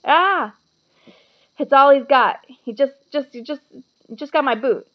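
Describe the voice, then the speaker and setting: A woman voicing a soft-hearted, doting man in a storybook, emotional and halting in delivery. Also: high voice, dull audio, voice pushed up. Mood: pained, doting. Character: soft-hearted, tearful man doting on his pet dragon.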